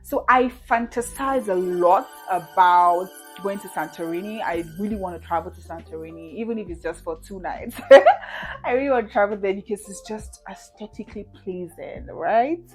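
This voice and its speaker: A woman's voice: medium pitch, animated, moderate pace, faint music behind. A woman in her twenties from Nigeria, chatting casually to camera as she answers viewer questions, with frequent "you know" and "right?" and easy laughs. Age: 20s.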